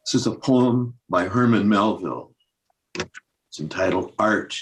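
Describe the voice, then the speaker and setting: An older man reading poems aloud, deliberate, with pauses between short phrases. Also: on the low side, slight background noise, rough recording. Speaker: poetry reader. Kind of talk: poetry recitation.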